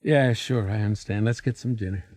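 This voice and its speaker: An American man playing a slick, evasive traveling salesman husband in a radio drama, with a brisk, persuasive manner. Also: lowish voice, a little mellow, no room sound, faint music behind, natural voice. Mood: smooth, casual. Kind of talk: naturalistic radio drama acting.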